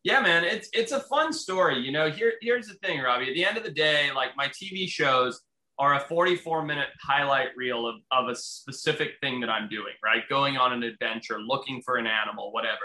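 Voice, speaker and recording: A man from Zimbabwe, a wildlife biologist, talking as a guest in casual, energetic chat and swearing freely. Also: medium pitch, a little fast. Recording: roomy echo.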